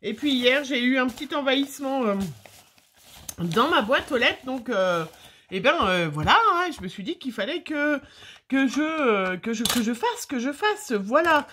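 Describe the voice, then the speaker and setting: A woman's voice: high voice, animated, moderate pace, bright, forceful tone, a little hiss or hum. A middle-aged French woman with a slight cold, chatting casually and rambling with many fillers as she opens her mail for her viewers. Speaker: scrapbooking vlogger. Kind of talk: casual chat, opening mail on camera.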